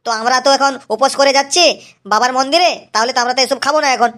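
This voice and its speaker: A cheeky young cartoon lad played in a high voice talks quickly to his viewers. He is chatty and excitable, repeating himself as he goes, in a mock-vlog comedy style. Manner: chatty, repetitive, addressing viewers.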